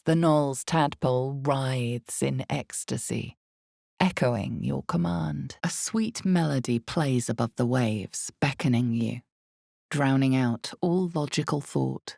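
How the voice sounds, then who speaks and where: A woman playing the brooding narrator of a dark fantasy game, speaking in solemn, deliberate, suspenseful scripted narration. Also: extremely low pitch, gravelly, dry recording, studio quality, heavily acted.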